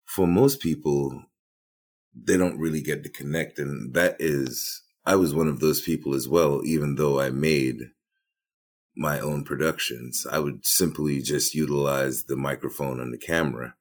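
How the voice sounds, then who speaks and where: Very deep-voiced man. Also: fairly even intonation. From Trinidad. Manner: reflective, halting, repeats words as he starts. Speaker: boom operator and filmmaker. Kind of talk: interview guest.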